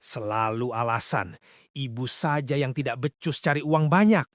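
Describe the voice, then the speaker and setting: A man's voice: medium pitch, normal speed, no echo, clean studio sound, close to an everyday voice. A man playing an arrogant, lazy, ungrateful young son in a cartoon drama, sneering and demanding. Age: young adult. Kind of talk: animated cartoon moral drama.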